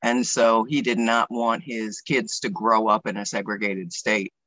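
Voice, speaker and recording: An American man in his sixties from Pennsylvania, recalling his life in an interview in a reflective, hesitant way, pausing to choose his words. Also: lowish voice, flat delivery, bright, forceful tone, no room sound, low-quality recording. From Pennsylvania, United States. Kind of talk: oral history interview, personal recollection.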